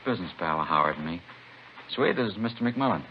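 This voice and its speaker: Deep-voiced bossy crook. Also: male voice, lively intonation, fast. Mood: confident. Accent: American. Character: smooth-talking male drug dealer, placating but bossy. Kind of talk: 1950s radio drama acting. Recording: muffled, hissy vintage radio recording.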